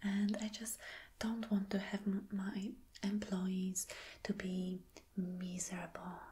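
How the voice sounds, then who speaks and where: A woman playing a flirty, caring boss in a soft, breathy, whispered ASMR roleplay, gentle and coaxing. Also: medium pitch, monotone, close to an everyday voice. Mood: soothing, caring. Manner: slow, gentle, coaxing, with hums of agreement. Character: flirty, caring female boss courting an employee. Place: small dim indoor room.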